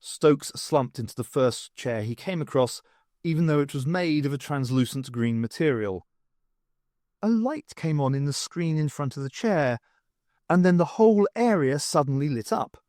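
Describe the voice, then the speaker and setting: Lively, higher-pitched man reading a story. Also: moderate pace, no room sound, studio quality. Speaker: audiobook reader. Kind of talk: scripted story narration; dramatised reading with voices for characters.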